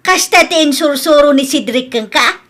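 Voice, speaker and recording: A woman acts out a nagging middle-aged mother in a comedy radio drama. Her voice is high and forceful, with an Ilocano Filipino accent. She exclaims and scolds stubbornly. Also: animated, normal speed. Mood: scolding, worried.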